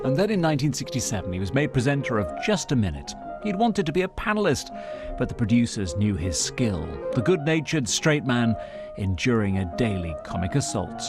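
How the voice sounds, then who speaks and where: A man's voice: medium pitch, very animated, a little fast, over music. A male correspondent narrating a scripted news obituary. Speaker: media correspondent.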